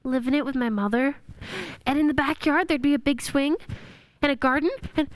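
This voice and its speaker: Lady doing a little girl in a radio play, high voice, earnest, curious, dry sound. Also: fairly quick, dry recording, close to an everyday voice. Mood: earnest, wistful. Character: young girl, earnest, doubtful but curious. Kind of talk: stage-style radio play acting.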